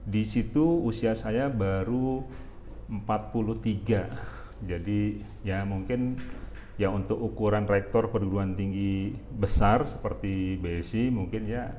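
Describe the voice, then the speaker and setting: Unhurried middle-aged man from Jakarta. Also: fairly low pitch, mellow, a little hiss or hum. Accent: Jakarta Indonesian. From Jakarta, Indonesia. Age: 40s. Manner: unhurried, halting, many fillers. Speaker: university rector, guest. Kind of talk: casual interview talk.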